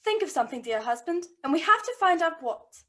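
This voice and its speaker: A woman playing a lively, impatient young wife in a radio play, emphatic and spirited. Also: very high voice, brisk, full character voice. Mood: playful.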